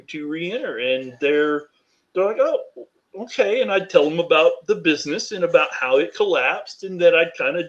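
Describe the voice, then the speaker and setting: Deep-voiced man, reflective and candid. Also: lo-fi sound. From North Carolina. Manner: reflective, many "you know" fillers. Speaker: podcast guest. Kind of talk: candid interview conversation.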